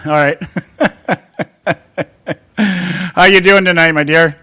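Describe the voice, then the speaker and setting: Jokey bright-voiced talk radio host. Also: male voice, high-pitched, fairly even intonation, slow pace, clean tone. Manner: jokey, laughing banter. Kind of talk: casual talk radio chat.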